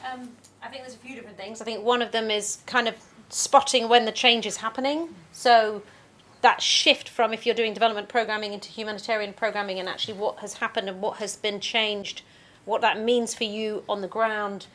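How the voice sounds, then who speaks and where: A woman's voice: a little high, fairly even intonation, brisk, fairly bright tone. A woman panelist speaking in a practical, matter-of-fact way in a panel discussion.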